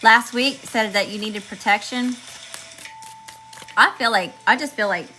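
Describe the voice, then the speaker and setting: A woman with a sharp, bright voice reads tarot for her viewers in a loose, chatty way. She rattles off card meanings one word at a time, her voice going hoarse, over faint music in the background. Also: a little high, measured pace. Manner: chatty and slangy, addresses viewers as y'all, lists card meanings in word runs. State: losing her voice. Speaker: tarot reader channeling messages. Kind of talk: casual spiritual reading to viewers.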